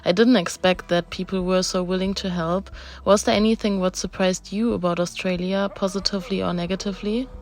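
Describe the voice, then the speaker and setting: A woman's voice: medium pitch, steady tone, brisk, darkish tone. A young German woman with a German accent, hosting and narrating a casual interview with housemates in a friendly, chatty way.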